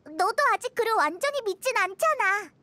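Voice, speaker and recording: A woman voicing a small, excitable, childlike fairy girl companion in a video game, exclaiming and full of curiosity. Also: very high-pitched, fairly quick, no room sound, full character voice. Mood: sympathetic.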